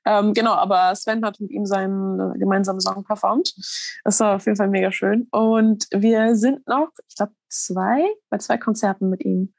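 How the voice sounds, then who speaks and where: This is a woman, a little hoarse, speaking as a musician guest in a relaxed interview. She talks earnestly, with plenty of fillers and restarts. Her voice sits at a middle pitch and sounds dry and close. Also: normal speed, dry recording. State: slightly hoarse. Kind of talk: casual interview talk.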